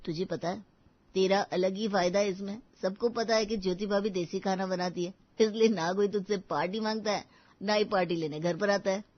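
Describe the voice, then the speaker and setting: A woman voicing a teasing, blunt office friend in an animated story. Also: mid-range voice, moderate pace, muffled recording, lightly acted. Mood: mocking.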